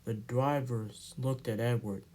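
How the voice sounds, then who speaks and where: A man narrating a children's storybook aloud in an amateur, expressive read-aloud style. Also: mid-range voice, measured pace.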